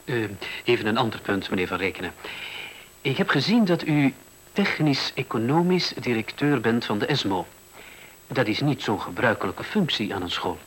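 A man acting in a Dutch radio play as a polite newspaper reporter who questions in a measured, probing way. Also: mid-range voice, big pitch swings, a bit bright, a little hiss or hum, low-quality recording.

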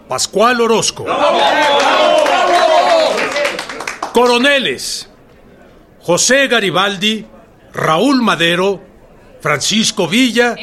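High-pitched revolutionary leader, declamatory. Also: male voice, noisy background. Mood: proclaiming. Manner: declamatory, deliberate. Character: Madero, earnest revolutionary leader addressing his troops. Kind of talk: radio drama oratory. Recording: loud crowd behind the voice.

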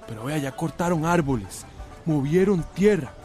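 Man playing an agitated high-voiced rural villager. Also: animated, moderate pace, a little mellow, faint music behind, lightly acted. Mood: shaken, alarmed. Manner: agitated, urgent, colloquial rural speech. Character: frightened young rural villager bringing alarming news. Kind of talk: radio drama acting.

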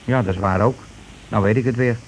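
A man's voice: lowish voice, a little fast, darkish tone, natural voice. Man acting a scheming, blustering husband on noisy radio. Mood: smug, self-justifying. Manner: wheedling, self-justifying, colloquial patter. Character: scheming, blustering, money-grabbing husband and landlord. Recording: noisy old radio drama recording.

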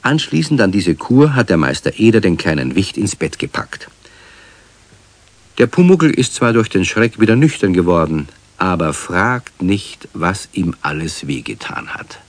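A man's voice: low voice, expressive, measured pace, no echo, full character voice. A man narrating a children's radio play as a wry, genial storyteller. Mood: wry narration.

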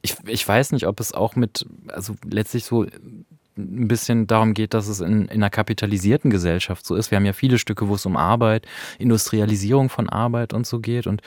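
A man's voice: fairly low pitch, fairly even intonation, a little fast, no room sound, clean studio sound. A man hosting a conversational radio discussion of literature, reflective and tentative, rephrasing as he goes. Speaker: radio podcast host.

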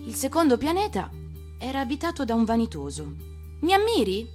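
A woman's voice: fairly high pitch, very animated, brisk, mellow. A woman reading a fairy tale expressively, as an actress narrating a storybook for radio. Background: music.

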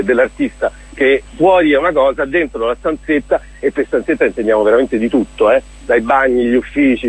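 A man giving a casual radio interview as a guest, speaking in an enthusiastic, expressive way. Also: medium pitch, breathy edge, rough recording. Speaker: interview guest, theatre director.

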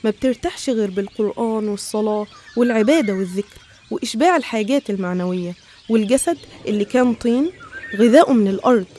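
A woman's voice: a little high, expressive, fast, mellow, a little hiss or hum. A woman with an Egyptian accent narrating scripted religious stories in an explanatory, didactic manner.

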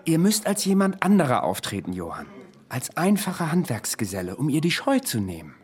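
An actor playing a scheming, insistent confidant in a period radio drama, speaking in archaic formal German. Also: male voice, fairly high pitch, huge pitch swings, fairly quick, darkish tone. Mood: sly, coaxing. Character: scheming male confidant urging and scolding a poet friend.

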